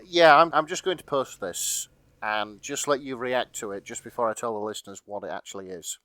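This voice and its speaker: A man's voice: medium pitch, fairly quick, a bit bright. A man co-hosting a podcast in casual, comic chat. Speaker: podcast co-host.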